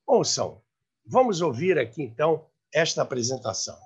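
A man in his seventies with a Brazilian accent, hosting and telling radio history to camera with emphatic, expressive delivery. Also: on the high side, normal speed, slightly rough, lo-fi sound. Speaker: host. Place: room by a large window, indoors. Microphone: lapel mic.

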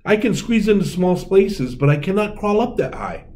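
Man as storybook Tooth Fairy, female, dainty, excitable, bossy, exclamatory, lively pitch swings. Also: normal speed. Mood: determined. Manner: exclamatory, animated storybook delivery.

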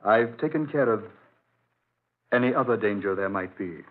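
An actor playing a genial but evasive, weary country doctor with a halting way of talking, in 1940s radio drama style. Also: male voice, on the low side, expressive. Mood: quietly reassuring. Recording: tinny, noisy old radio recording.

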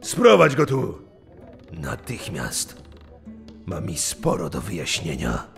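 A man playing the gruff boss of a prison colony in an audio drama, gravelly, curt, irritable and commanding. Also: deep voice, huge pitch swings, slow, exaggerated voice. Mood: irritated, commanding. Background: background music.

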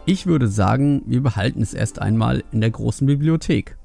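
Man playing a mellow, sceptical village elder. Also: mid-range voice, lively intonation, moderate pace, creaky, faint music behind. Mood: measured, sceptical. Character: sceptical, weary male village elder leading questioning.

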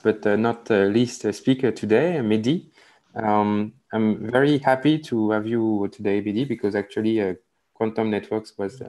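Guy hosting a webinar on a call, lowish voice, polite, lots of ums. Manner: warm, courteous, hesitant with fillers. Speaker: moderator. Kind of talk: webinar moderating. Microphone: video call.

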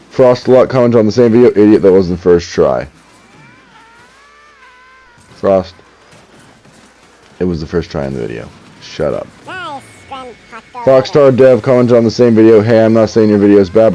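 A young man with a deep, dark-toned voice talks casually through a headset while gaming in his room. He is dry and mildly sarcastic, with frequent stammering restarts, and the audio sounds slightly dull and lo-fi. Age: young adult. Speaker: gaming YouTuber reading comments. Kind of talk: casual commentary while playing.

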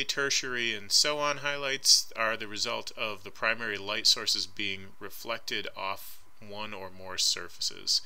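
Man art instructor, slide voice-over, bright lower voice, casual and hedging. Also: creaky, poor audio. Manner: casual and hedging, frequent ums. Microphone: voice-over recorded over slides.

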